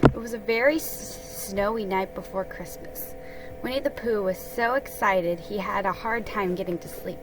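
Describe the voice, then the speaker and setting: A woman hosting a holiday read-aloud, mixing casual chat with dramatic storybook reading in a halting way that stumbles over words. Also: mid-range voice, noisy background.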